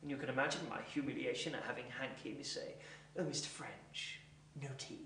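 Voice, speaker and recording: A man's voice: mid-range voice, brisk, a bit bright, a little hiss or hum, lightly acted. Man acting an eccentric, pompous monologuist, echoey room. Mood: deadpan, confiding. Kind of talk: theatrical comic monologue. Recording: echoey room, distant mic.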